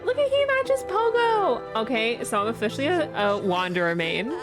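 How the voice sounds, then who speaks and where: A woman's voice: a little high, very animated, moderate pace. A woman game streamer chatting casually in a playful, gushing way. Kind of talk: casual livestream chat. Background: game music.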